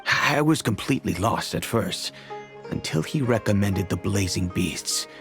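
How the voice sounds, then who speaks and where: An actor playing an older noble father who speaks in a solemn, formal, deliberate way. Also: lowish voice, lively intonation, music in the background. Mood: reflective. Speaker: head of a wealthy family. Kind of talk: game cutscene voice acting.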